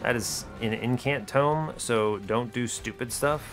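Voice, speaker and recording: A man gives casual, breezy gameplay commentary in a mid-pitched voice. He thinks out loud in a chatty way, with a wry, understated delivery. Faint music plays behind him. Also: measured pace. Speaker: host of an educational gaming video series.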